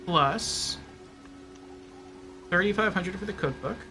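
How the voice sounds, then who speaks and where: A man's voice: high voice, slow, sharp tone, soft background music. A man giving casual livestream game commentary, thinking aloud and reasoning things out step by step.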